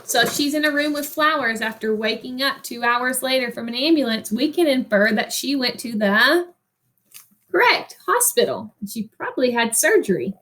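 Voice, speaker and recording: A woman reading a picture book aloud like a teacher, expressively, with explanatory asides and pauses for young listeners to answer. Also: high-pitched, animated, a bit bright.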